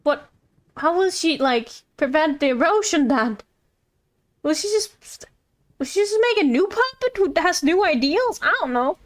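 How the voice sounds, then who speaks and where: A woman's voice: high voice, very animated, a little slow. A woman giving casual live gameplay commentary as a streamer, informal and musing, with some swearing. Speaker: game streamer.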